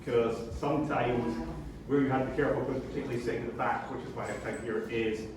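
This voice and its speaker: A man's voice: medium pitch, steady tone, fast. A man giving casual spoken feedback to students. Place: roomy indoor school space, recorded from far off. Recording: echoey room, distant mic, background noise.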